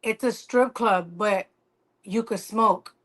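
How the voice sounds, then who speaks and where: A woman's voice: mid-range voice, slow pace. A woman with a New York accent chatting casually on a podcast, frank and laughing. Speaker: podcast guest. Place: San Diego.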